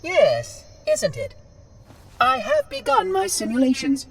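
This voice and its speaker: A man's voice: very high voice, huge pitch swings, takes it slowly, recorded from a distance, crowd noise, full character voice. A man voicing a fussy, officious robot monitor in a video game, with formal speech and electronic processing. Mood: chirpy, pleased. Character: fussy, cheerful robot monitor turned coldly possessive.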